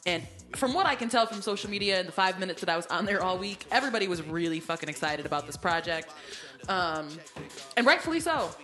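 A woman's voice: fairly low pitch, expressive, fast, a bit bright, soft background music. A woman co-hosting a casual podcast chat, wry and steering the talk from topic to topic. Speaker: podcast host.

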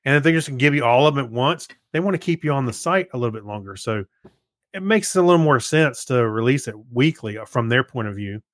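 A man co-hosting a podcast, chatting casually and haltingly as he thinks aloud. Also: medium pitch, expressive, no room sound, studio quality. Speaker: podcast co-host. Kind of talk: casual chat.

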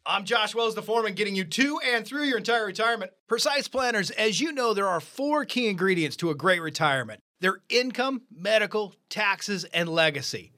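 A man hosting a financial radio show, talking in an energetic, emphatic way. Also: high voice, brisk, few pauses. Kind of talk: upbeat financial radio talk.

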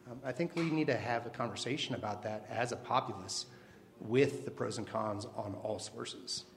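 A man on a panel discussion who answers in a measured, reflective way. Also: lowish voice, gravelly.

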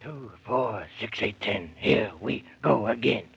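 An actor playing a put-upon talking riding animal, muttering reluctantly in a gravelly voice in a 1930s children's radio serial. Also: male voice, on the low side, slow pace, a bit bright, heavily acted. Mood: plodding. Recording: muffled, noisy old radio recording.

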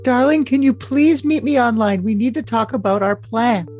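Man putting on a high, cutesy, clingy girlfriend. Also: normal speed, darkish tone. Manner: cutesy, theatrical, overly dramatic. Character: girlish, clingy, babyish young woman texting her boyfriend. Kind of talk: overly dramatic reading of text messages.

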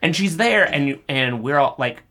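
A podcast co-host chatting casually about a film, retelling scenes in an animated way and acting out the characters' lines. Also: medium pitch, normal speed. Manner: acts out characters' lines while recounting.

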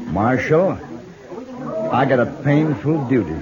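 A man playing a sly, mocking drifter in a 1950s radio Western, folksy and drawling, with a raspy voice. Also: mid-range voice, animated, takes it slowly, a crowd in the background. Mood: mock-solemn. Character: sly, mocking drifter troublemaker in the Old West. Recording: dull vintage radio recording.

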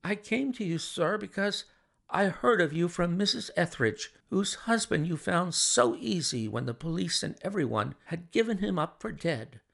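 A man's voice: high-pitched, expressive, normal speed, gravelly, fairly bright tone, voice pushed up, exaggerated voice. A man voicing a flustered, chatty young woman who speaks eagerly and emphatically. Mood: earnest.

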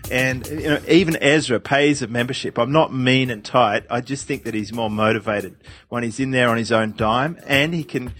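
A man's voice: medium pitch, normal speed, a bit bright, soft background music. A man hosting a casual podcast, upbeat and chatty. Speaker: podcast host.

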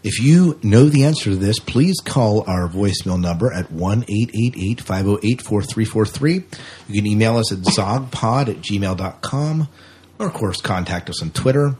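A man's voice: on the low side, expressive, normal speed, a little mellow, husky. A man hosting a podcast in a casual, conversational style. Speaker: podcast host.

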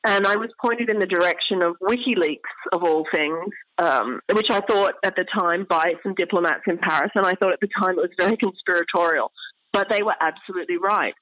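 A woman speaking as an academic expert in an interview, explaining in an articulate way with pauses mid-phrase. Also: on the low side, fairly bright tone, no echo, poor audio.

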